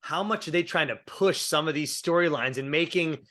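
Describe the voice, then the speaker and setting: A chatty male co-host with a slightly high voice, rough and a bit under the weather from a cold. He talks casually and laughs often, connecting ideas as he goes. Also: fairly quick. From Italian-American heritage. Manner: chatty, laughing, thinks out loud. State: sick, voice rough. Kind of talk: casual aftershow chat.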